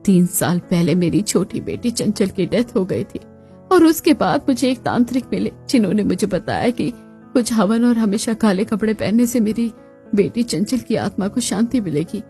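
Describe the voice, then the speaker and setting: A woman's voice: medium pitch, lively intonation, moderate pace, dark tone, soft background music, close to an everyday voice. Woman as a stern grieving cartoon mother-in-law. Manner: dramatic, reproachful.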